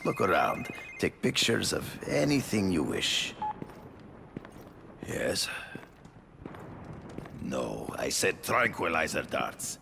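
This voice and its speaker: An actor voicing a theatrical, proud big-game hunter in a video game, with a gravelly voice and a formal, deliberate, grandiose delivery, menacing yet courteous. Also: male voice, on the low side, background noise, heavily acted. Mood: impassioned. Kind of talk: video game cutscene acting.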